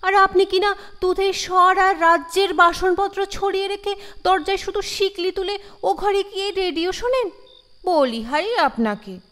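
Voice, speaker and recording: A woman's voice: extremely high pitch, measured pace, fairly bright tone, voice pushed up. A woman narrator voicing an alarmed, gossipy neighbour wife who exclaims and scolds with concern in an audio story. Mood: alarmed scolding. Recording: long reverb over the voice.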